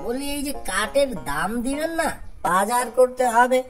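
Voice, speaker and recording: A woman's voice: on the high side, animated, normal speed, soft background music, close to an everyday voice. A woman voicing a simple, timid, gullible poor woodcutter husband in a cartoon folk tale, plaintive and pleading.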